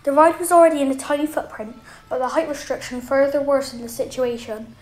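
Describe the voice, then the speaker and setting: A woman's voice: high voice, fairly even intonation, a little fast, darkish tone. A woman reading a scripted documentary narration in an explanatory style.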